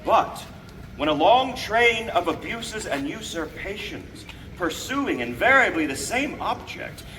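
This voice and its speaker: Stately colonial statesman played by a male reenactor, high forceful voice, formal theatrical proclamation, recorded outdoors from a distance. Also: big pitch swings, a little hiss or hum, full character voice. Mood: grave. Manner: declamatory, deliberate phrasing, formal. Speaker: historical reenactor. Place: outdoors, speaking from a balcony to a gathering. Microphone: distant camera microphone.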